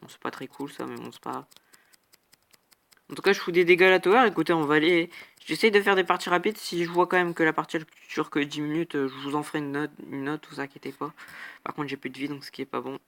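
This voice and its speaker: A man's voice: fairly high pitch, steady tone, fast talker, fairly bright tone. Brief: young male gamer, a bit congested, casual rambling play-by-play with "hop, bam" sound words. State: has a cold, sniffly. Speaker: gaming YouTuber. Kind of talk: casual gameplay commentary.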